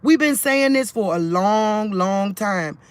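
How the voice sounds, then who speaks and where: A man with a fairly high voice speaks straight into his phone for a religious vlog. He rambles in a loose, conversational way, often breaking off and restarting his sentences. Phone mic. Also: expressive, slow, smooth, no echo.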